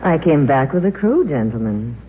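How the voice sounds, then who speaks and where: An actress playing a worldly, forthright film star in 1950s radio drama, frank, wry and assured. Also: female voice, low voice, sing-song, moderate pace. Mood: frank, insistent. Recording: muffled, hissy, reverberant old radio recording.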